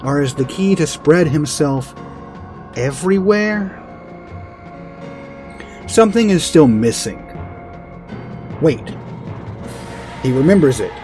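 A man narrating a comic fantasy story in a theatrical, deliberate dramatic-reading style. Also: fairly high pitch, lively intonation, a little mellow, faint music behind.

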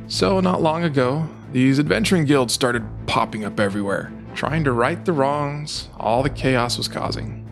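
A man's voice: medium pitch, moderate pace, vocal fry, hardly any pauses, close to an everyday voice. A man voicing a scheming old demon bishop in a fantasy story, speaking in grand, contemptuous speeches. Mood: scornful, brooding. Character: scheming old demon bishop, soul collector, coolly menacing. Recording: music behind the voice.

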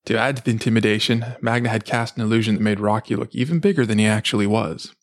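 A man's voice: lowish voice, quick pace. A man reading a fantasy story aloud as dramatic scripted narration. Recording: music behind the voice. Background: dramatic background music.

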